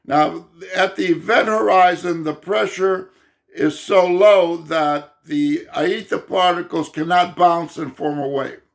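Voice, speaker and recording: A man with a fairly high, gravelly voice that has gone hoarse talks through his ideas at a slow pace. His monologue is unscripted and halting, and he keeps checking in with his listener. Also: fairly even intonation. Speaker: self-published video explainer.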